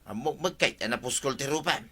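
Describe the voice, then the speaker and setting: A man's voice: medium pitch, animated, a little fast, pushed and bright. A man acting a nosy, chatty village neighbour in an Ilocano comedy drama. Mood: disapproving. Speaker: radio comedy actor.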